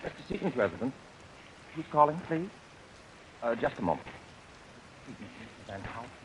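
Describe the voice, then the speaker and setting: A man's voice: mid-range voice, sing-song, normal speed, close to an everyday voice, rough recording. A man acting as a shy, fussy young assistant in a 1940s radio drama, prim, fretful and polite. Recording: hissy vintage radio recording.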